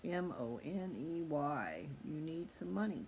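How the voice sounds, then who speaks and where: A middle-aged man with a slightly high voice speaks in a loose, candid way, as if thinking aloud to his listeners. His talk is casual and reflective. There is noticeable background noise on the recording. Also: big pitch swings, unhurried, darkish tone. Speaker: host of a personal video channel. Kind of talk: casual, rambling personal monologue. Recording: clearly audible background noise.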